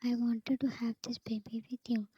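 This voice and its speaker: A woman's voice: fairly high pitch, little pitch movement, normal speed, husky, no room sound, dull audio. A woman whispering as a heartbroken young woman. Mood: anguished. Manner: soft unvoiced whisper.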